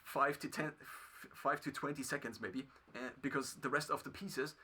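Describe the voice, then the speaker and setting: A man with a German accent, a native German speaker, talking through his past as a video creator in a halting solo monologue full of restarts. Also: medium pitch, steady tone, pushed and bright, husky. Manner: halting, many restarts and fillers.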